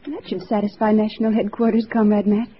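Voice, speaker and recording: An actress playing a dutiful, efficient communist bookkeeper in a 1950s radio drama, with clipped delivery. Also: female voice, medium pitch, flat delivery, quick pace, close to an everyday voice. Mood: brisk, efficient. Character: brisk, dutiful female communist bookkeeper comrade. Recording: muffled old radio recording, faint hiss.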